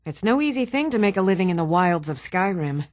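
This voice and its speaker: An actress playing an earnest, dutiful woman of a fantasy realm in a video game, speaking in a measured, composed and formal way. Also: lowish voice, big pitch swings, no echo, clean studio sound. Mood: matter-of-fact.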